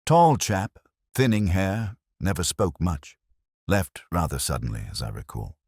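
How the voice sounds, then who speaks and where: A man reading as a deep-voiced, offhand solicitor. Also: wildly swooping pitch, unhurried, darkish tone, husky, no echo, studio quality, full character voice. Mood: offhand, vague. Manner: clipped, offhand phrases. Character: sallow, vague male solicitor, offhand and indifferent.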